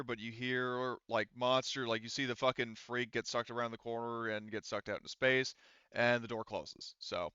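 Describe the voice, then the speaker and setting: Smooth-voiced man running a tabletop game, dull mic. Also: mid-range voice, pushed and bright, no echo. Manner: rambling, profane, self-correcting. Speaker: game master. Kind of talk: casual tabletop game narration and rulings. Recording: slightly dull, lo-fi microphone sound.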